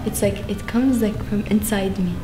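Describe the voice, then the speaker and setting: A woman's voice: medium pitch, fairly even intonation, normal speed, noisy background. A young woman from Lebanon, telling her own story in a documentary interview style. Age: young adult. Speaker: pop group singer. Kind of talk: personal documentary interview narration. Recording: roomy sound under loud music. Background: loud music.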